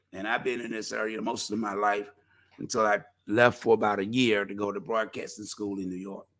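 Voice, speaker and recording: A gravelly, low-toned man hosts a community talk show by himself. He speaks in a folksy, animated way, rising into preacher-like appeals to his listeners. His voice is getting worn and tired from talking too long. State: losing his voice. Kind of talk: casual solo talk show promotion.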